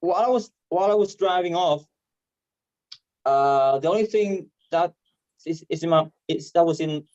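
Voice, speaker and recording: A man from Vietnam with a Vietnamese accent and a stutter, performing stand-up comedy haltingly over a video call. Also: on the high side, darkish tone, dry recording. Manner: hesitant, halting delivery with repeated words. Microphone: video call microphone. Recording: dull, muffled online call audio.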